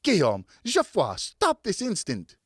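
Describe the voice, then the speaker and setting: A man's voice: sits high, huge pitch swings, unhurried, fairly bright tone, slightly rough, no echo, clean studio sound, voice pushed up, heavily acted. A man voicing a stern, outraged woman in an audiobook, scolding with emphatic, indignant commands. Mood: outraged, commanding. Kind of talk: audiobook character voice.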